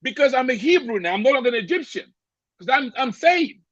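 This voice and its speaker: Man, high voice, husky tone, animated storyteller, repeats words, dry dull lo-fi recording. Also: a little fast, no room sound. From Cameroon. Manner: animated, stammering repeats, laughs often. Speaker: podcast guest. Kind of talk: personal faith testimony, storytelling. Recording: dry, slightly dull lo-fi audio.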